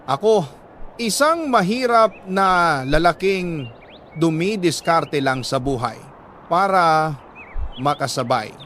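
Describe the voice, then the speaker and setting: A man with a Filipino accent narrating a first-person story in an earnest, emotive way, with deliberate pauses between short phrases. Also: a little high, expressive, a bit bright, a little hiss or hum. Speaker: radio drama narrator reading a letter sender's story.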